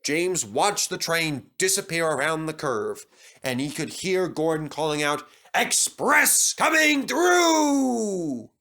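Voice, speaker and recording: A man narrating a children's story from a script in an expressive, dramatic storytelling manner. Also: a little high, unhurried. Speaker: storybook reader.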